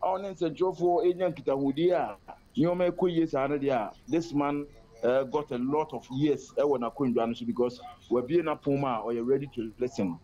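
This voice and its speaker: A man's voice: medium pitch, steady tone, warm tone. Man reporting by phone. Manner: fast, fluent report mixing Twi and English. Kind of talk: live phone-in news report. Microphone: phone line. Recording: muffled phone line.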